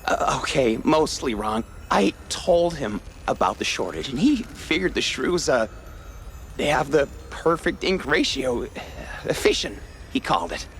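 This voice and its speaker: A eccentric, cynical rich mouse with a gravelly, fairly high voice that swoops dramatically. He is theatrical and evasive, a guilty old war buddy from a cartoon noir game. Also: sing-song, unhurried, soft background music, exaggerated voice. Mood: defensive. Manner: theatrical, hesitant, evasive, sighing. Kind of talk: animated noir game acting.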